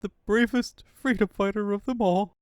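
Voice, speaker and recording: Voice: mid-range voice, fairly even intonation, normal speed, no room sound, studio quality, lightly acted. A performer voicing a grave, dutiful young female leader with a solemn, emotional manner in a cartoon comic dub.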